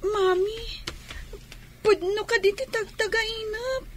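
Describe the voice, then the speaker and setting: A woman's voice: very high-pitched, slow, slight background noise. A woman voicing a young schoolchild talking to a mother in an Ilocano radio drama. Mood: sleepy, wistful.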